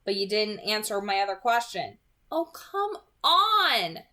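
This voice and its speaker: A woman's voice: mid-range voice, sing-song, a little slow, bright, forceful tone. A woman voicing an anxious, inquisitive teenage boy in a novel read-aloud, with a questioning, easily flustered manner. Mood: curious, insistent.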